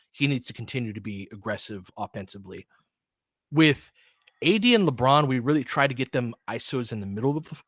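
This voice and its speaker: Guy doing casual hoops commentary, analytical, kinda halting as he works it out, medium pitch, super dry mic. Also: fairly bright tone, dry recording. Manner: conversational, analytical, halting as he thinks aloud. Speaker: audio play-by-play host and basketball analyst. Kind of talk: casual off-the-cuff sports commentary, answering listeners.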